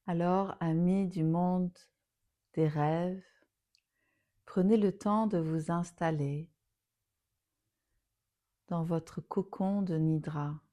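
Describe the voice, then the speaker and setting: Female meditation guide, lowish pitch, unhurried and soothing, phrases fading softly into breath. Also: fairly even intonation. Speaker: yoga nidra teacher.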